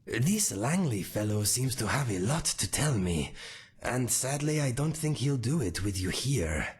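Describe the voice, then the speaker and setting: A man voicing a gentle, worried male cat-folk companion in a game, with a breathy, husky voice. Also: mid-range voice, very animated, a little slow, full character voice. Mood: reassuring. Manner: soft-spoken, worried, polite.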